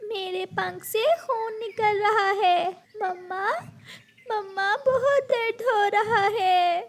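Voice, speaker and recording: A little cartoon girl bird, acted by a woman with a very high, childlike voice. She speaks slowly and plaintively, like a small whiny sister. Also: fairly even intonation, background noise, full character voice. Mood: crying, pained.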